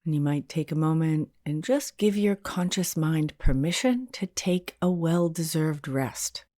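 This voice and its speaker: A woman leading a guided meditation as a hypnotherapist, in a soothing, gentle and unhurried manner. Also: deep voice, dry recording, studio quality.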